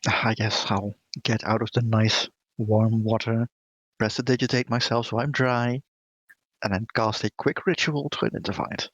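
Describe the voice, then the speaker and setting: Low, husky man in a dull recording. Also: level tone, normal speed, no room sound. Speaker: player in a tabletop roleplaying game. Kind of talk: casual game chat.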